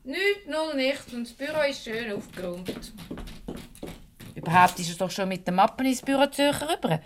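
An actress playing a gossipy, teasing office colleague with a Swiss German accent and a flair for melodrama, in 1970s radio comedy style. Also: female voice, a little high, animated, moderate pace, noisy background, lightly acted. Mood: matter-of-fact.

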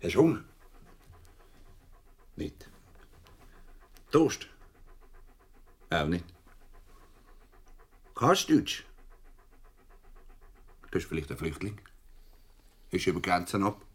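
Low-voiced, gruff Swiss policeman. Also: sing-song, slow, long pauses, heavily acted. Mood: gruff, probing. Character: gruff, dry-humoured male Swiss policeman questioning a child. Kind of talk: radio play acting.

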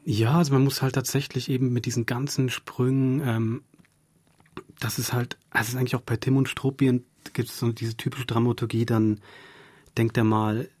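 A man's voice: mid-range voice, flat delivery, normal speed, a little mellow, breathy edge, no echo. A man speaking as a radio drama director in an interview, thinking aloud in long, loose sentences. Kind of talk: conversational explaining in interview. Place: dry radio studio.

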